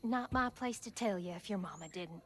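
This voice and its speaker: A woman's voice: mid-range voice, brisk, a bit bright, slightly rough. A woman playing a grave, caring older Southern churchgoing woman in a video game, earnest and folksy, with an American Southern accent. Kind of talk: video game cutscene acting.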